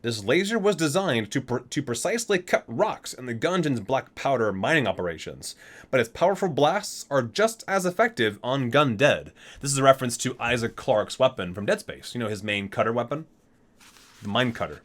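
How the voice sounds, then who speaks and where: Animated man in an ASMR reading. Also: mid-range voice, moderate pace. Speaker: video host reading aloud from a book. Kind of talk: ASMR reading with casual asides.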